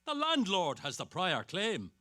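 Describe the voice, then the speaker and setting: Bluff, booming Dubliner played by a male actor, theatrical stage reading, bright forceful voice with huge swings in pitch. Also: wildly swooping pitch, unhurried, no room sound, clean studio sound, heavily acted. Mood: blustering, assured. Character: big booming Dublin man, bluff and assured. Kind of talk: staged dramatic reading of a novel.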